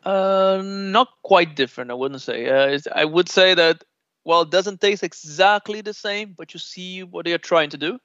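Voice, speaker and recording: Man, Brazilian Portuguese accent, relaxed and chatty, slightly high voice, dull lo-fi dry audio. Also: normal speed, no room sound. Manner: relaxed and chatty, laughs often, many filler uhs. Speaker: interviewee. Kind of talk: casual conversational interview.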